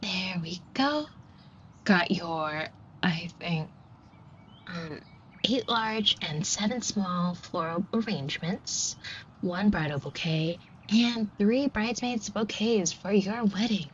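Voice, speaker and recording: A woman's voice: lowish voice, slow. A woman playing a shy, flustered florist who talks to the listener in a hesitant, colloquial, self-deprecating way in an audio roleplay. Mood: casual, bashful. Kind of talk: scripted roleplay acting addressed to the listener. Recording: dull muffled audio with clear noise. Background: shop ambience sound effects.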